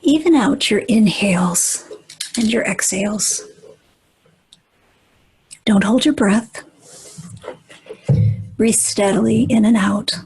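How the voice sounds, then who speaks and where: A woman leading a guided meditation in a gentle, deliberate manner, with long pauses and a breathy, husky voice that often nears a whisper. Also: mid-range voice, faint music behind, rough recording.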